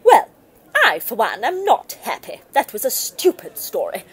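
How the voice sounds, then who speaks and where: Mother, female actor: bossy, selfish snob for comic audio drama, hugely animated delivery with a rough edge. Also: fairly high pitch, measured pace, full character voice. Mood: indignant. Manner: imperious, scornful, emphatic. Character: haughty, selfish, bossy, indignant well-to-do mother.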